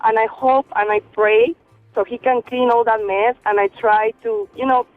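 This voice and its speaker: A woman from Peru with a Peruvian Spanish accent, calling a radio show and giving her opinion in an earnest, emphatic, halting way. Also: a little high, fairly even intonation, dry recording, faint music behind. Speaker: caller. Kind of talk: phone-in opinion. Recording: tinny phone line.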